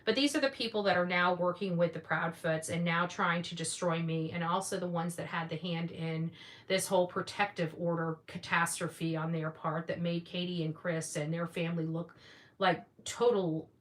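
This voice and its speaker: A woman's voice: fairly low pitch, fairly even intonation, moderate pace. A woman speaking in a casual, opinionated monologue to viewers, blunt and combative, often repeating phrases for emphasis. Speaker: online commentary host.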